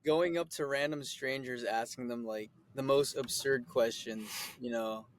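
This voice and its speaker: A man's voice: medium pitch, fairly even intonation, unhurried, no room sound. A man in his twenties from the Philippines, a guest actor giving easygoing, rambling interview answers with many fillers.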